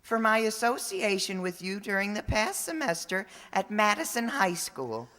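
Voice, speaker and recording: A woman playing an earnest, tactless teenage high school boy in old-time radio comedy style, gushing and naive. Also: animated, normal speed, fairly bright tone, close to an everyday voice. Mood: earnest, grateful. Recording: reverberant hall recording.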